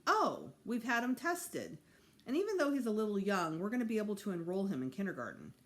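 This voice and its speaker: A woman's voice: medium pitch, big pitch swings, fairly quick. A woman voicing a patient, reassuring mother in a storybook, coaxing and placating her child. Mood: upbeat, reassuring.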